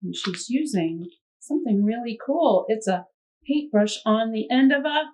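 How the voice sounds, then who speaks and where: A woman reading a picture book aloud to children, expressive, pausing to ask gentle prompting questions. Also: a little high. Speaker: puppet show storyteller.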